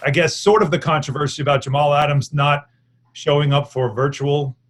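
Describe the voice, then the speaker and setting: A man with a somewhat higher-pitched voice hosts a sports discussion. He talks casually, as a radio host does when leading into a question. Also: fairly even intonation, moderate pace, slight background noise.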